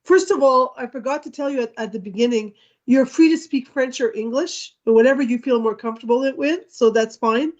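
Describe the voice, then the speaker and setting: A woman interviewing for a commission over a video call, asking polite, gently probing questions. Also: high-pitched, fairly quick. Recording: slightly muffled video call audio.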